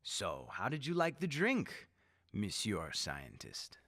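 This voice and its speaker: A man playing a murderous, mockingly courteous dictator in a radio drama, theatrical and taunting with drawn-out emphasis. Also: on the low side, wildly swooping pitch, bright, forceful tone, heavily acted. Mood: sly insistence.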